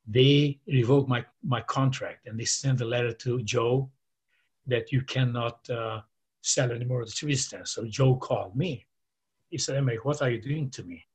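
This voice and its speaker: A man's voice: medium pitch, breathy edge. An older man from Romania with a Romanian accent, telling long rambling stories with many fillers and quoting others. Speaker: interview guest. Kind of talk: casual storytelling.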